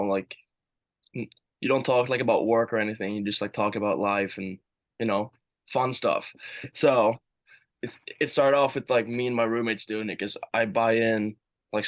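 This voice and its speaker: A man's voice: fairly low pitch, fairly even intonation, moderate pace, a bit bright, no echo. A young man from Sweden, a student athlete chatting casually and rambling with lots of "like". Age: young adult. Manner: rambling, says "like" a lot. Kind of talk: casual interview answers.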